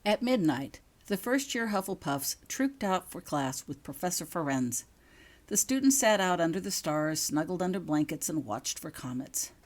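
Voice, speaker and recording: A woman's voice: fairly low pitch, very animated, moderate pace. A woman reading her own written story aloud as a scripted narration. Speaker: author reading own fan fiction.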